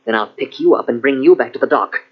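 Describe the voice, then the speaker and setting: A man's voice: mid-range voice, fast talker, slightly rough, close to an everyday voice. A man playing a scheming, coaxing young fortune hunter in an old radio drama. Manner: persuasive, coaxing endearments. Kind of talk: 1940s radio acting. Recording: dull, lo-fi old radio cassette.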